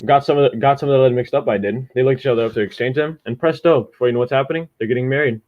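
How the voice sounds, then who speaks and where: A man's voice: mid-range voice, very fast, lo-fi sound, background noise, natural voice. A man playing a chatty, self-important village postman and bell ringer in a radio drama, talking in a folksy, boastful way. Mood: chatty, pleased.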